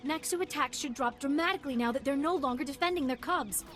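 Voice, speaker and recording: A woman's voice: sits high, quick pace, a bit bright. A woman playing a zealous, earnest wildlife activist in a video game, gushing about her cause. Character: zealous female wildlife activist devoted to predators. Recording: game music underneath.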